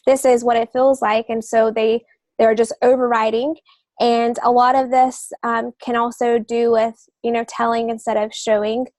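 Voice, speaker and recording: A woman with a slightly high voice talks on a podcast. The sound is very dry, with no room around it, and she explains points earnestly in a conversational way. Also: little pitch movement, normal speed, darkish tone, no echo. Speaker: podcast co-host, author. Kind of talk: casual explanatory podcast talk.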